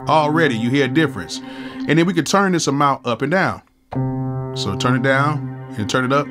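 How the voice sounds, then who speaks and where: A man's voice: medium pitch, a little fast. Man, 30s, stuffy nasal cold voice, laid-back and slangy; home studio tutorial with faint music underneath. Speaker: music producer and YouTube host. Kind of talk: casual software tutorial.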